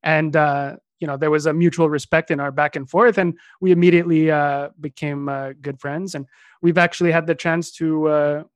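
A man's voice: on the high side, flat delivery, normal speed, no echo. A man co-hosting a casual sports podcast, talking with many hesitant filler sounds as he introduces a guest. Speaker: podcast co-host.

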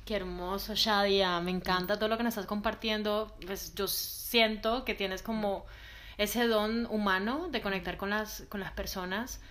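A podcast host with a Colombian Caribbean coast accent, in a mid-range woman's voice. She welcomes her guests warmly and leads the talk with open questions. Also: medium pitch, steady tone, normal speed. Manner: warm and welcoming, asking guiding questions. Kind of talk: podcast hosting.

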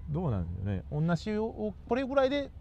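Kansai guy co-hosting radio, mellow voice, lively up n down delivery, polite but jokey, kinda lofi. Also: sits high, fast, vocal fry. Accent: Kansai Japanese. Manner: deferential polite speech mixed with dialect. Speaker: radio talk show co-host. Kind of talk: casual comic chat. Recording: dull lo-fi recording with faint noise.

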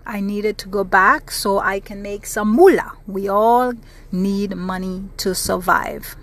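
A woman from the Caribbean talks through a casual solo podcast at an unhurried pace. Her voice sits at a middling pitch. She is chatty and earnest, and she repeats phrases to drive her point home. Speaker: podcast host. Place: South Florida.

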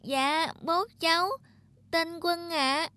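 A performer voicing a small, innocent, wistful child in an animated folk tale, polite and earnest in manner. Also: very high-pitched, slow, no room sound, clean studio sound, full character voice. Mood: eager.

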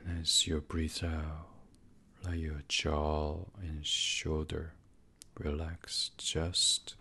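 Very slow, deep, breathy male meditation guide. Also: level tone. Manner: hushed, unhurried, often slipping into whisper. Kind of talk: guided sleep meditation, soothing slow instruction.

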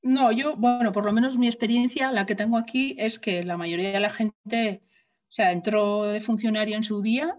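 A woman's voice: medium pitch, fairly even intonation, normal speed, distant mic. A woman, a guest in a casual interview, answering hesitantly as she thinks aloud. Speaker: guest, maintenance manager.

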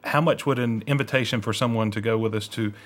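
A man's voice: medium pitch, fairly even intonation, fast talker. A man co-hosting a religious radio discussion, speaking in a reflective and somewhat hesitant way. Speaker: radio co-host.

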